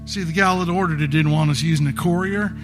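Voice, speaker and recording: A man's voice: on the high side, fairly even intonation, fast, close to an everyday voice. An actor playing a rattled, easily indignant folksy delivery man in a live audio drama, stammering and drawling out slang. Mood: wary, reluctant. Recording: live stage recording with music behind.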